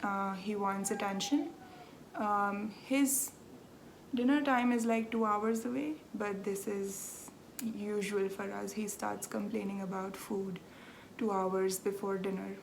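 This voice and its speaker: A young Indian woman hosting a podcast in relaxed chat, explaining patiently and often laughing at herself. Her pitch stays fairly even and level, with a faint hum of ambience behind her. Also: slow pace, creaky, poor audio. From South India, Andhra Pradesh. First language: Telugu. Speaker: podcast host. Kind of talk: casual chat.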